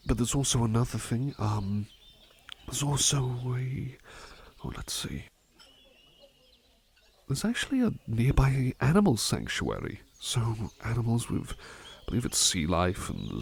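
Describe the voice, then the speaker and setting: An attentive butler played by a man in an ASMR roleplay, with a lowish voice full of soft fry. His pitch moves around in a lively way as he rambles gently and hesitantly. He is courteous and eager to please. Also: slight background noise, full character voice. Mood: eager, considerate.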